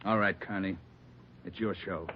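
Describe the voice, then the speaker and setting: A man's voice: low voice, fairly even intonation, normal speed, husky. An American man playing a brusque police boss in an old radio drama, curt and commanding. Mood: brisk, tense. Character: brusque male police boss giving orders. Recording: muffled, narrow-band old radio recording.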